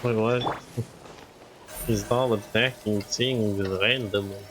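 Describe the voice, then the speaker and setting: A man's voice: on the low side, steady tone, slow, background noise. A man giving casual, offhand gameplay commentary in short muttered reactions as a streamer. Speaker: game streamer. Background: game music.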